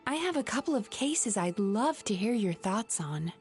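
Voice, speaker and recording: A calm, gentle-but-firm healer. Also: female voice, medium pitch, moderate pace, soft background music. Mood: composed. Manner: composed, gentle yet firm, clinical. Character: composed young woman, gentle psychologist type. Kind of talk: video game cutscene dub.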